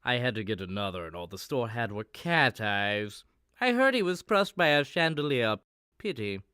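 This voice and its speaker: An actor playing a scheming, condescending villain butler in a comedic suspense audio drama. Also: male voice, medium pitch, very animated, normal speed, sharp tone, clear, resonant tone, no echo, studio quality. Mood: sneering, vengeful.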